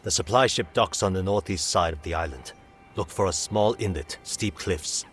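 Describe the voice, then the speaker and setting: Low-voiced blunt island raider. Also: male voice, moderate pace, a bit bright, soft background music. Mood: matter-of-fact. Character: pragmatic male island raider, blunt but loyal. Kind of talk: video game cutscene acting.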